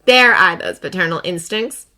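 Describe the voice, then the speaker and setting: A woman reading a story voices a sharp, exasperated female assistant who scolds. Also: fairly low pitch, moderate pace.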